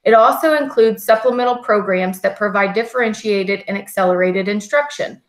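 Lady reading budget slides on a video call, formal and steady, roomy echo. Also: mid-range voice, normal speed. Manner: steady, formal, scripted delivery. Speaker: school district finance administrator. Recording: echoey video call audio.

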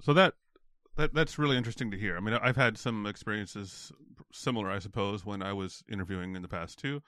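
A man's voice: on the low side, fairly quick, a bit bright, dry recording, clean studio sound. An American man hosting a podcast, asking curious follow-up questions and reading a listener comment aloud. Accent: General American. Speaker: podcast host. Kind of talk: casual interview chat.

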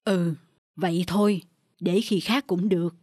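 A woman voicing a gentle, wise elderly mother in a cartoon, speaking softly and steadily. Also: on the low side, steady tone, unhurried, slightly rough, dry recording, clean studio sound. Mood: kindly. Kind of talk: cartoon voice acting. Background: music.

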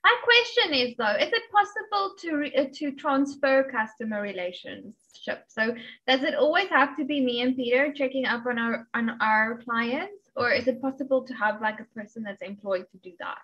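A young woman with a high, bright voice hosts an informal online panel. She talks playfully and jokes along as she goes. The audio is a little dull, as video calls are. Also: expressive, normal speed. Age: young adult. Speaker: show host. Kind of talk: casual, joking panel chat. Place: small home room, video call. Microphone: desk microphone with pop filter.

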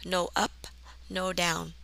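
A woman with a southern Vietnamese accent narrating a language lesson as the teacher, enunciating deliberately and carefully. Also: lowish voice, little pitch movement, gravelly, pushed and bright, rough recording.

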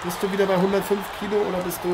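A man's voice: high voice, monotone, racing, close to an everyday voice. A man playing a chatty, joking boxing interviewer and commentator in an improvised mock sports radio play, rambling and hesitant. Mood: teasing, chatty. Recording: clearly noisy amateur recording.

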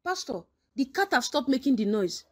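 A woman's voice: sits high, very animated, moderate pace. A woman playing a fretful, superstitious housewife. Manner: anxious, pleading, insistent. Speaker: comic actor. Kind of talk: comedy skit acting.

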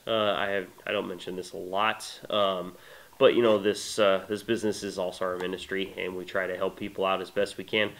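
A man in his thirties with a congested voice from a cold, casually and enthusiastically talking to camera about a knife he made, filled with "uh" and "you know", in a small indoor room. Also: fairly low pitch. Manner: relaxed, enthusiastic, many fillers. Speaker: knife maker presenting his knife. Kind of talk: casual product talk to camera.

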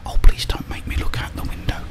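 A middle-aged man whispers ASMR gameplay commentary in a breathy voice, muttering and mildly grumbling to himself. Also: quick pace. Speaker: gamer, ASMR video host. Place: indoors, small room at home.

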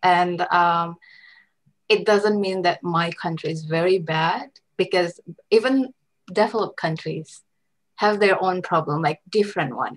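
A woman's voice: on the low side, poor audio. A young woman from Indonesia, a graduate student, answering earnestly on a video call through a headset. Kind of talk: panel interview answers.

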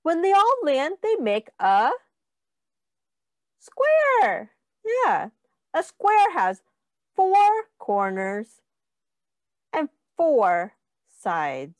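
A woman's voice: high voice, wildly swooping pitch, extremely slow, long pauses, no echo. A woman reading a children's picture book aloud like a teacher, sing-song and encouraging, with prompts to count along.

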